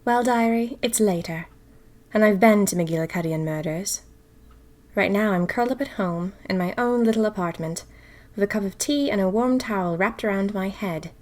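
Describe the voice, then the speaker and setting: A woman's voice: medium pitch, moderate pace, darkish tone, natural voice. A woman playing a theatrical, wry young woman of the 1920s confiding anxiously in her diary for an audio drama. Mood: weary, wry. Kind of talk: first-person audio drama diary narration.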